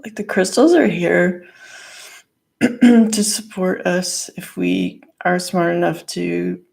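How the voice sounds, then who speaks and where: A hoarse woman with a gravelly voice doing a casual tarot and crystal card reading in her living room, rambling with lots of fillers. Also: fairly low pitch, animated, slow pace, darkish tone. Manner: rambling, lots of "like" and "you know".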